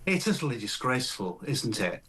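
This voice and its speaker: A man's voice: mid-range voice, sing-song, brisk, fairly bright tone, slightly rough. A man, a political campaigner, guesting on talk radio, indignant and ironic. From England. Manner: indignant, mock-shocked, ironic.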